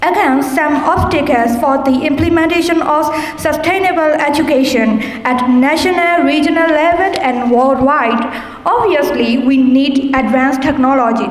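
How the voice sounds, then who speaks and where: A young woman from Myanmar, giving a formal debate speech and reading out prepared points in a measured way. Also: high-pitched. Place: debate hall. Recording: echoey hall with long reverb.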